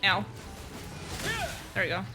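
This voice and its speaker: A woman game streamer giving casual, excited commentary in a slangy, gushing, reactive way. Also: slow. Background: game music.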